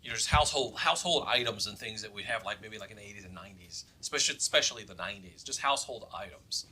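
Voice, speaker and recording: A man's voice: on the low side, expressive, racing, bright, forceful tone, a little hiss or hum, poor audio. A man co-hosting a show in casual chat, rambling emphatically and circling back over his points. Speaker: show co-host.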